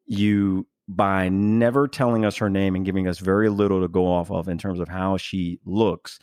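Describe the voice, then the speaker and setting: A man's voice: deep voice, little pitch movement, normal speed, a little mellow, clean tone, no echo, studio quality. A man hosting a podcast in casual, reflective conversation, speaking earnestly and emphatically as he searches for his words. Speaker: podcast host.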